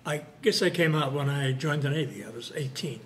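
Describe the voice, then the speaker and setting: A man's voice: fairly high pitch, fairly quick, fairly bright tone. A man in his eighties, reminiscing with wandering phrasing in a documentary interview. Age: 80s.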